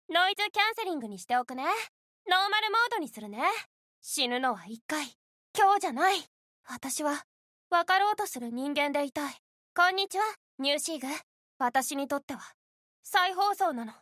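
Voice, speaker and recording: A woman's voice: high-pitched, bright, forceful tone, no room sound, clean studio sound, exaggerated voice. An actress voicing a caring, determined young woman, a game heroine, in scripted character lines. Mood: bright, friendly. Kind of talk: Japanese character voice acting, scripted voice prompts.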